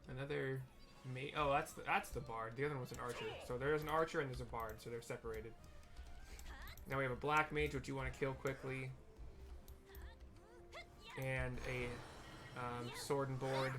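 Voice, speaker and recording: A man's voice: medium pitch, little pitch movement, moderate pace, long pauses, fairly bright tone. Man casually commentating gameplay over music. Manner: informal, thinking aloud, hesitant. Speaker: gamer narrating own playthrough.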